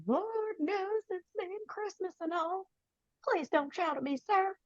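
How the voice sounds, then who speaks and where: Lady doing meek pleading debtor guy, high slow voice, dull lo-fi call audio. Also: no room sound. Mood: pleading, anxious. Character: Mister Applegate, meek pleading poor debtor man. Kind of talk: live dramatic script reading. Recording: dull, dry video call track.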